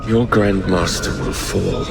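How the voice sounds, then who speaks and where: A man's voice: sits low, fairly even intonation, slow pace, vocal fry, full character voice. An actor playing a cocky, daring young male assassin with a flippant way of talking. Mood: defiant. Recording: loud music and vehicle noise behind.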